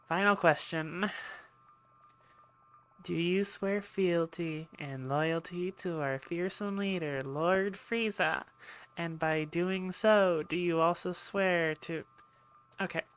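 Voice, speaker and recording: A woman's voice: lowish voice, clear, resonant tone. A woman playing a brusque, sarcastic ship medic in an ASMR roleplay, dry and impatient, talking straight to her patient. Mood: exasperated. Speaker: voice actor in audio roleplay. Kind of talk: ASMR roleplay acting, speaking to listener.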